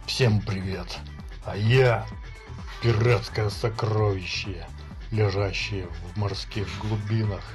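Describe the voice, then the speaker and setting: Male listener, lowish voice, very slow jokey message, music behind. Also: fairly even intonation.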